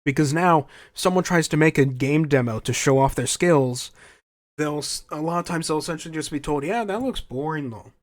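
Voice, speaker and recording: A man's voice: fairly high pitch, moderate pace, no echo, studio quality. A young man hosting a podcast, talking casually and opinionated, his voice slightly scratchy and stuffy from a cold, often restarting phrases. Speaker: podcast host. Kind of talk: casual solo podcast rant.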